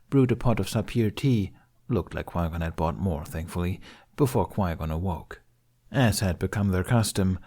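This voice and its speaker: A man's voice: lowish voice, warm tone. A man reading scripted fiction aloud as an audiobook narrator.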